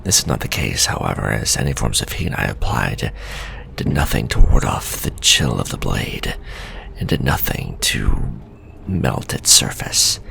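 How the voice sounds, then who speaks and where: A man voicing an obsessive male scholar-mage who reads his letters home in a formal, soft whisper for an ASMR story. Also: very deep voice, gravelly, a little hiss or hum, exaggerated voice. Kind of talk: whispered ASMR roleplay reading.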